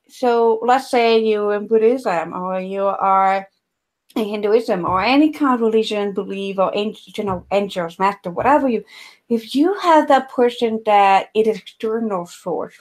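A woman from Ecuador, a somatic healer hosting a podcast conversation, talking in a searching, rambling way with many fillers. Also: medium pitch. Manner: searching, rambling, filler-heavy, often laughs.

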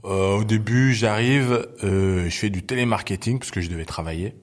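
A man in his forties, raised in Belgium, talking as a guest in a relaxed interview, often pausing with fillers as he recalls the past. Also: fairly low pitch. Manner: relaxed, lots of hesitations. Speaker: guest, hip-hop journalist. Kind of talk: casual interview chat.

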